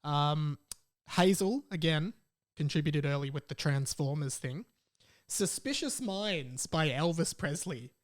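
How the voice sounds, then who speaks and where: A man's voice: a little high, lively intonation, a little slow. A man hosting a solo podcast in a casual, jokey way, repeating phrases for emphasis. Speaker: podcast host.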